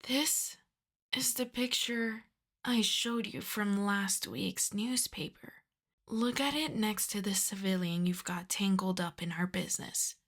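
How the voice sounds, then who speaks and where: Woman playing a slow-talking, wry female mafia boss. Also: medium pitch. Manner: wry, sweary, conversational, addresses listener as darling. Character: apologetic, exasperated female mafia boss, sardonic but courteous. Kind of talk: roleplay audio drama, ASMR.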